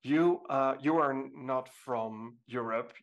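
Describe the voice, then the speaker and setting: A man hosting a podcast interview, steering the conversation briskly with questions. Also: medium pitch, dull audio. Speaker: podcast host.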